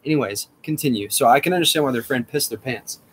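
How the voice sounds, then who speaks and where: A man hosting a casual podcast, talking in a rambling, excitable way with lots of swearing. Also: mid-range voice, quick pace, a little hiss or hum. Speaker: podcast host.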